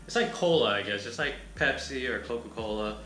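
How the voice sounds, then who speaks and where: A man with a raspy, bright-toned voice talks through a beer review in a loose, rambling way. He speaks in an echoey room with faint music playing in the background. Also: mid-range voice, animated, brisk. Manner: laid-back, rambling, lots of filler words. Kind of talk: casual chat.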